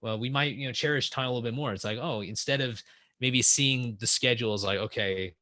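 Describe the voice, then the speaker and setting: A man's voice: medium pitch, fairly quick, bright, forceful tone, dry recording. A young man speaking as a podcast guest in casual conversation, rambling with many restarts and fillers.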